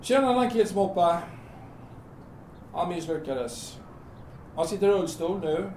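A man's voice: high-pitched, lively intonation, darkish tone. Lightish-voiced blunt man, played by an actor, roomy. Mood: matter-of-fact. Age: 30s. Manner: hesitant, matter-of-fact, colloquial. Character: blunt, casual thirtyish man talking down a would-be jumper. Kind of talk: audio drama acting. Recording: roomy, distant, noisy amateur-sounding recording.

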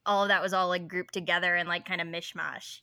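A woman's voice: on the low side, flat delivery, fast, pushed and bright, clear, resonant tone, no echo. A woman on a podcast, recalling childhood memories in a hesitant, rambling way. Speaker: podcast guest. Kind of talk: casual podcast chat.

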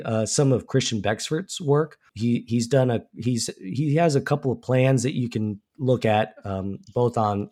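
A man's voice: medium pitch, darkish tone. Congested man losing his voice. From Alabama. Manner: casual, many ums and restarts. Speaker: woodworking podcast co-host. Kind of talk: casual podcast chat.

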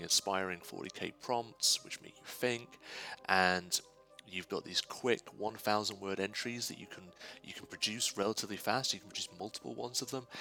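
Bright, creaky low-voiced man, enthusiastic. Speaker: writer, guest contributor. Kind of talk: informal spoken testimonial.

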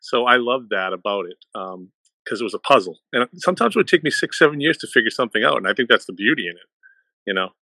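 A man's voice: mid-range voice, expressive, quick pace, pushed and bright, no echo, poor audio. A man with a Boston accent talking casually as a guest on a music discussion. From Boston area, United States. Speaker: panel guest, music fan.

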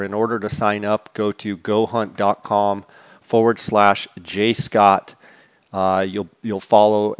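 Steady, low-voiced male podcast host. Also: clear, resonant tone, no room sound, muffled recording. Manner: casual, many filler "uh"s and restarts. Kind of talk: podcast intro with sponsor reads.

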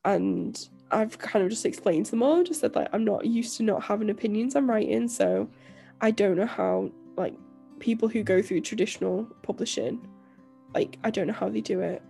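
A young woman chats casually as a podcast co-host, her voice croaky and nasal from illness. There is a gravelly fry at the bottom of her voice. She rambles, restarting sentences and filling gaps with fillers, over faint background music. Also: mid-range voice, moderate pace, mellow. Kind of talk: casual chat.

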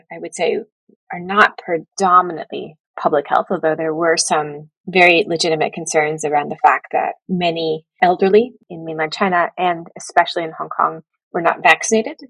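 A woman gives considered expert answers in an interview, choosing her words carefully. Her voice is on the low side and husky with some creak, recorded dry and close. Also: dry recording, rough recording. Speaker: public health professor, interview guest.

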